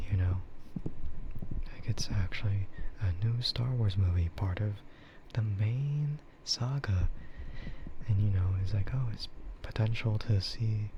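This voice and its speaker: A man with a low, breathy voice rambles in a soft ASMR half-whisper. He talks slowly and informally, often hesitating. The audio is muffled, with clear noise behind him. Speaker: VTuber streamer hosting an ASMR stream.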